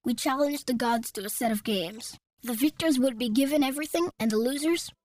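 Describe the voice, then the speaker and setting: Squeaky little forest creatures. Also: male voice, a little fast, a little mellow, a little hiss or hum. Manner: squeaky, prim and chattering. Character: tiny fluffy gray tree creatures, proud, clever.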